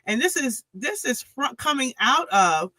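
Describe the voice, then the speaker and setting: A woman guest on an interview podcast, talking with a forceful, pressing tone. She works through her analysis point by point, often restarting her sentences. Her voice is close and dry, with no room sound. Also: high-pitched, very animated, a little slow, no echo, poor audio. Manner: analytical, builds arguments with frequent restarts.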